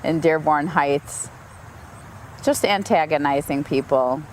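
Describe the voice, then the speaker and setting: Frank, low-voiced woman from the U.S. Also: takes it slowly, slight background noise, poor audio. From United States. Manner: frank, animated storyteller. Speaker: interviewee. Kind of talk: interview, personal account.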